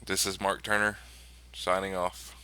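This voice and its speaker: A man with a very bright, forceful voice on the low side, playing a tabletop game. His delivery is measured and even in pitch, and the sound is crisp.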